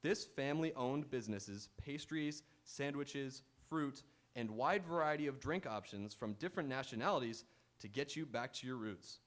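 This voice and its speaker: Guy hosting a live stage quiz show, playful banter, talking to the crowd, mid pitched voice. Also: moderate pace. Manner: playful banter with contestants and audience. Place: auditorium stage.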